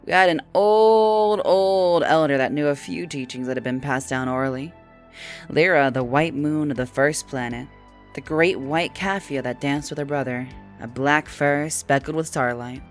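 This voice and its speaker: A woman narrator puts on a brash alien rebel woman in a low-pitched voice. She is laid-back and blunt, teasing in casual slang, as in a science fiction audiobook. Faint music plays underneath. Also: normal speed, natural voice. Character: brash, laid-back alternative brown-furred female alien.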